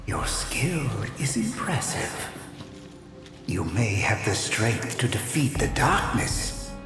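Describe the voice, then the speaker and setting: A woman's voice: rumbling low, big pitch swings, slow pace, full character voice. A woman playing a solemn, ghostly guardian in a video game, speaking formally through heavy echo and reverb. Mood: solemn, eerie. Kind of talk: video game cutscene acting. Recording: cavernous echo, music behind.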